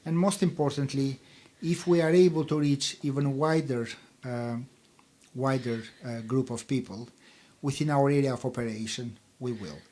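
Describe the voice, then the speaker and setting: A man whose first language is Albanian, a charity chief executive answering radio interview questions in a measured, hesitant way with many fillers. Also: medium pitch, warm tone.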